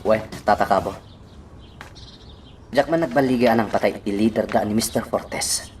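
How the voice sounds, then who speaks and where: A man's voice: lowish voice, normal speed, faint music behind, close to an everyday voice. A man acting a determined fighter facing enemies in an Ilocano radio drama.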